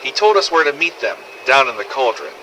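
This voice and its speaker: Man acting brash, sharp-voiced zombie apocalypse survivor. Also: mid-range voice, sing-song, a little fast, slight background noise, poor audio. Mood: matter-of-fact recounting. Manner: blunt, sardonic, swearing, conversational storytelling. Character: brash, foul-mouthed adult male survivor in zombie apocalypse. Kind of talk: scripted first-person audio log narration.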